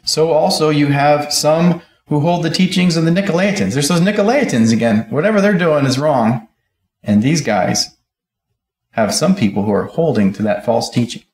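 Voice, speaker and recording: A man's voice: on the high side, moderate pace, a little mellow, roomy sound. A middle-aged man preaching a sermon at a pulpit, reading scripture aloud and explaining it verse by verse. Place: church auditorium, speaking at a pulpit.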